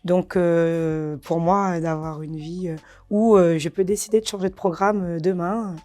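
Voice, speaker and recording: A woman, answering interview questions casually and hesitantly, with many fillers and soft laughs. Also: lowish voice, steady tone, a little slow. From Réunion. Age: 30s. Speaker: karate champion guest.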